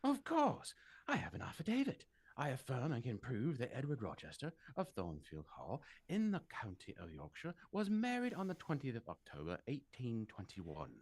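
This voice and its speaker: A man acting a forceful, accusing gentleman in a period radio drama, emphatic and declamatory. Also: medium pitch, wildly swooping pitch, normal speed, slightly rough, dry recording, heavily acted. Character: forceful, accusing gentleman exposing a secret marriage.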